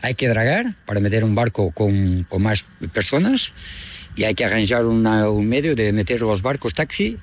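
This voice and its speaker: A man's voice: lowish voice, big pitch swings, moderate pace, slight background noise. Portuguese man chatting in Spanish. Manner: chatty, ends phrases with a questioning "no?". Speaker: boatman and fisherman. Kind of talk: casual interview on a boat.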